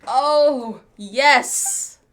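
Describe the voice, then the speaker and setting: A woman's voice: high-pitched, drawn-out words. A woman streaming a game, giving casual commentary as she plays through the story. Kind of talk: casual playthrough commentary and reading along.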